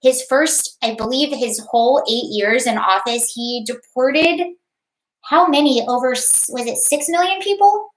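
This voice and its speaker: A chatty woman with a very high, bright-sounding voice runs a casual livestream, rambling between topics and greeting viewers by name. She talks into a home setup, and the room gives her voice a noticeable echo. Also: a little slow. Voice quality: high-pitched. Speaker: livestream host.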